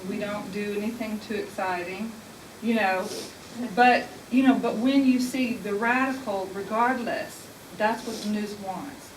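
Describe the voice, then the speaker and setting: Woman on a panel, hissy echoey recording. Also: medium pitch. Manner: sincere, conversational, with restarts. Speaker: panel speaker. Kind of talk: panel discussion. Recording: echoey room, distant mic, hiss.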